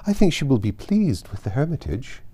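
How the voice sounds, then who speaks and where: A man's voice: medium pitch, sing-song, fairly quick, mellow, voice pushed up. A man voicing a deferential, eager-to-please middle-aged mother from a genteel family in an audiobook, fussily civil. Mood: eager urging. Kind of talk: audiobook character voice.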